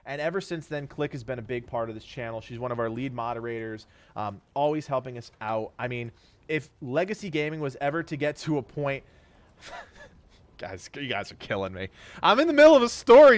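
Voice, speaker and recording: A thirty-year-old man whose voice is going hoarse and stuffy, streaming live and thanking his viewers for donations in a grateful, rambling way. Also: mid-range voice. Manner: grateful, rambling, laughs often.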